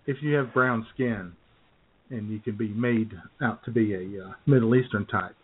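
An American man co-hosting a radio show, telling casual, joking stories in a halting way, often clearing his throat. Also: on the low side. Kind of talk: casual comic chat, telling anecdotes. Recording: phone-like, narrow-band sound.

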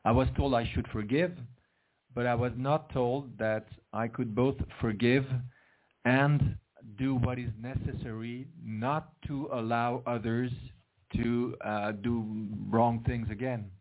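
A man's voice: mid-range voice, steady tone. Man on a tinny phone line. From Switzerland. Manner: earnest, halting with many restarts. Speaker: author and guest. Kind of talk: interview over the phone.

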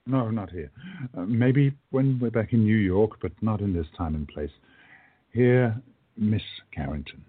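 A man playing a kindly, courteous time-travelling shopkeeper in a radio play, speaking gently and a little hesitantly. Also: on the low side, unhurried, slightly rough, phone-quality sound. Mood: courteous, cautioning.

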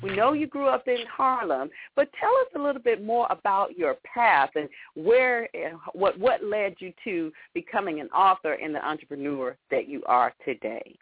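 A woman's voice: mid-range voice, animated, moderate pace, few pauses, slightly rough, dry recording. A woman hosting a radio interview show, gushing with enthusiasm and praise as she welcomes her guest. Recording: tinny phone-line sound.

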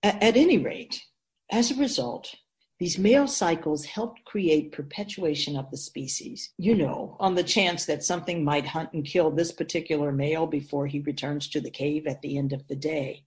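A woman playing an ageless, wise spirit guide in a radio drama, explaining in a measured, emphatic, instructive way with deliberate pauses. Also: low-pitched, a little mellow, natural voice. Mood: assured, explanatory. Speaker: teacher figure in a radio drama.

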